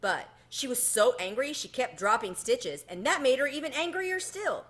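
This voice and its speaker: A woman reading a children's story aloud in an expressive narrating style. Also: sits high, moderate pace. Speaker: storybook reader.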